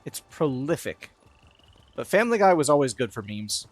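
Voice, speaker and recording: A man chatting casually as he streams a video game, with a lively delivery. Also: medium pitch, moderate pace, no room sound, faint music behind. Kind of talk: casual chat while playing a game.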